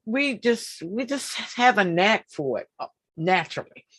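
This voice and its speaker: A woman in her fifties hosting an interview, talking in a slow, emphatic way and repeating her points. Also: medium pitch, animated, fairly bright tone, slightly rough. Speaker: podcast host. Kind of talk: casual interview.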